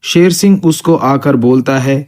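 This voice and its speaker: A man's voice: medium pitch, unhurried, a little mellow. A man narrating an animal story for children as its storyteller.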